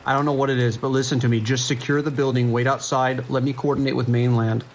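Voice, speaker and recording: Man acting as anxious, gravelly police dispatcher. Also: mid-range voice, flat delivery, fast, natural voice. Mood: tense, worried. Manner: urgent, clipped radio procedure, hesitant when shaken. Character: male police dispatcher, anxious but holding the line. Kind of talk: audio drama acting. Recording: rain and ambient noise behind.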